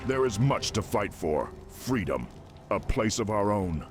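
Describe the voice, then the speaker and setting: A man's voice: lowish voice, sing-song, a little slow. A man voicing a proud, idealistic young orc shaman in fantasy game dialogue. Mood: defiant. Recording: loud music under the voice. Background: loud music.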